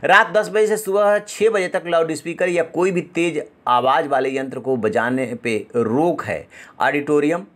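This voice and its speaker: A man's voice: fairly high pitch, unhurried, a bit bright. A man presenting news as an anchor, explaining points in an emphatic way.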